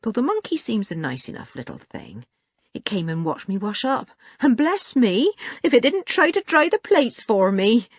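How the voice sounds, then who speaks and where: A woman's voice: fairly high pitch, sing-song, normal speed, breathy edge, dry recording, clean studio sound, voice pushed up, full character voice. A woman voicing a kindly, fussing household cook, homely and chatty, in a children's story. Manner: homely, chatty, gently scolding. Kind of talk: children's audiobook character voice.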